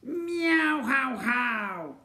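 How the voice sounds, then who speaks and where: A man voicing a menacing army of storybook cats with drawn-out meows and hisses. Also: very high-pitched, big pitch swings, creaky, pushed and bright, pitched above the performer's own voice, exaggerated voice. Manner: drawn-out meowing and hissing. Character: yowling, hissing, menacing army of castle cats. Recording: echoey room.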